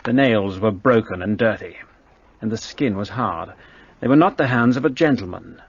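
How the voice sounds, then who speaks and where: An actor playing a brusque, arrogant police detective in a radio play, speaking in a curt, commanding way. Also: male voice, on the low side, normal speed, slightly rough, lo-fi sound.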